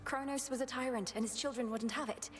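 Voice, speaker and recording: A woman playing a resolute young goddess princess in a video game, speaking in a formal, measured, determined way. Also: sits high, fairly even intonation, soft background music, lightly acted. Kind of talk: dramatic video game acting.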